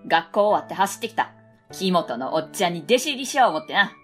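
A woman's voice: fairly low pitch, very animated, fast talker, soft background music. A woman with a Kansai accent voicing a blunt, know-it-all schoolboy in an amateur radio drama. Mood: eager. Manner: blunt, lecturing, matter-of-fact.

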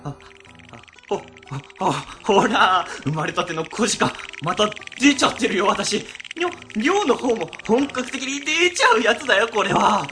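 A man's voice: high voice, animated, measured pace, music in the background. A man playing an easily spooked, stammering government office worker in a comic radio drama. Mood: shocked, spooked. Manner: stammering, flustered.

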